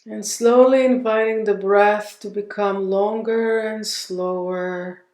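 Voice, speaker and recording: A woman's voice: mid-range voice, steady tone. Woman guiding meditation in an echoey room. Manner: gentle, unhurried, with long pauses between phrases. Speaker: meditation guide. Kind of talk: guided meditation.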